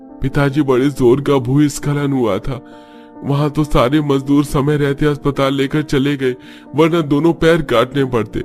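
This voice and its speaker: A man's voice: medium pitch, level tone, a little slow, a little mellow, faint music behind, natural voice. A man playing a poor, plain-spoken labourer and family man who talks earnestly in a moral story.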